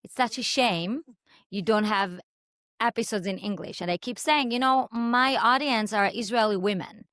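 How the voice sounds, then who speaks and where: A woman's voice: fairly high pitch, moderate pace, fairly bright tone, no room sound, studio quality. A woman whose first language is Hebrew, hosting a podcast interview over a video call, speaking enthusiastically with lots of fillers. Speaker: podcast host. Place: home in Dallas.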